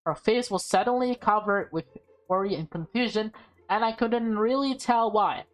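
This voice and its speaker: A woman doing a casual let's-play, reading a visual novel aloud haltingly, with stumbles, self-corrections and offhand commentary. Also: medium pitch, low-quality recording.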